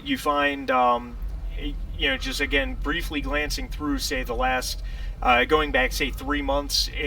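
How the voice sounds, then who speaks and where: A man running a tabletop game as game master, narrating off the cuff in a halting way, often repeating and restarting his phrases. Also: medium pitch, creaky, bright, forceful tone. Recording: noisy, distant microphone.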